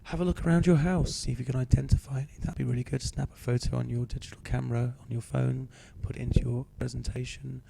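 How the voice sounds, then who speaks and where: Croaky teacher with a cold. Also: male voice, medium pitch, fairly even intonation, fast, few pauses, mellow, slight background noise. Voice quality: croaky, slips into whispery breaks. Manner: patient, explaining step by step. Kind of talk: homework help walkthrough.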